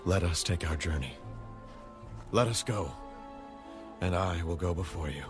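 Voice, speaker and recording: An actor playing a gruff, magnanimous brother and chieftain in a dramatized scripture recording. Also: male voice, deep voice, measured pace. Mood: offering help. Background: music.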